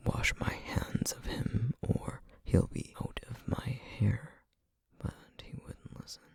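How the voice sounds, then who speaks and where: A man playing a protective, duty-bound flame demon in an ASMR roleplay, speaking in a hushed, gravelly, halting and intense way. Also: mid-range voice, no echo, exaggerated voice. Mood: wistful. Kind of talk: ASMR roleplay, scripted character acting.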